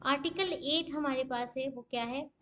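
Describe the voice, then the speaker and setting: High-voiced Pakistani woman lecturing. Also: moderate pace, fairly bright tone, slight background noise. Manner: didactic, poses questions then answers them. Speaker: law lecturer. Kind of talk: explanatory lecture, switching between Urdu and English legal terms.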